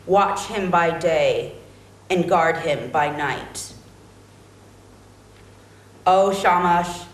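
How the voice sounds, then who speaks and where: A woman playing a solemn mother queen in a dramatic epic reading, with an incantatory, declamatory delivery. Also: on the low side. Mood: fervent invocation. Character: solemn mother queen praying for her son. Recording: roomy, echoing recording.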